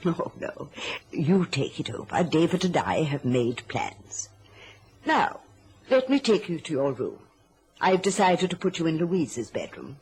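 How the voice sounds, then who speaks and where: A woman's voice: sits low, darkish tone, a little hiss or hum, low-quality recording. A middle-aged woman playing a covetous, domineering mother who slips between imperious orders and silky endearments in a radio drama. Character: domineering, scheming, covetous mother with a silky-sweet false warmth.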